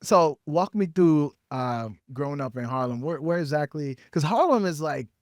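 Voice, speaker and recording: An American radio interviewer from Minnesota asking questions casually and haltingly, often searching for words. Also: medium pitch, expressive, a little mellow, no room sound, studio quality. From Minnesota, USA.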